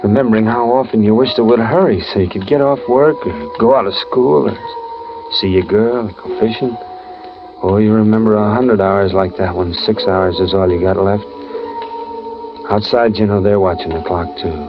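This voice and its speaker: Guy acting doomed death row man telling his story, deep voice, music under it, muffled old time radio. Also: moderate pace, natural voice. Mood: brooding. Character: condemned man, lonely and fearful, recalling death row. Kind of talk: 1940s-50s radio drama first-person narration.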